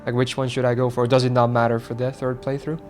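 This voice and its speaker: A man's voice: medium pitch, level tone, fast, faint music behind. A man streaming a game, chatting casually with his viewers and swearing now and then. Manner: chatty, swears, reads chat aloud. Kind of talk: casual gameplay commentary.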